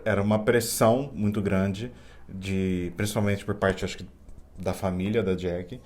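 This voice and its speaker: A man's voice: sits low, moderate pace. A Brazilian man with a Campinas accent, the host's husband, chatting casually and hesitantly on camera. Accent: Campinas Portuguese. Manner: relaxed, hesitant, restarts often.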